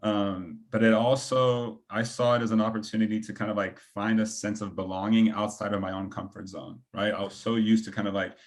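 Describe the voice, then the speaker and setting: A man speaks casually as a panelist, in a slightly low voice. His delivery is informal and meandering, with a self-deprecating streak. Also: flat delivery, fairly quick, few pauses. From Belgium.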